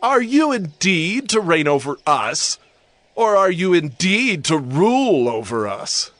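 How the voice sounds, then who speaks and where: An actor playing a jealous, scheming brother in a dramatised Bible audiobook. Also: male voice, high-pitched, sing-song, takes it slowly, a bit bright, dry recording, faint music behind, heavily acted. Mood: indignant scorn.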